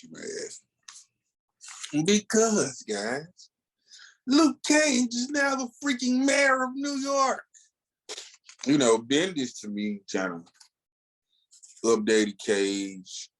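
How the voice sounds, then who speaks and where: A man with a fairly low voice talks casually as a podcast co-host. He is opinionated and emphatic, uses plenty of slang, and drifts into asides as he reviews. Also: rough recording. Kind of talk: casual, opinionated comic book review chat.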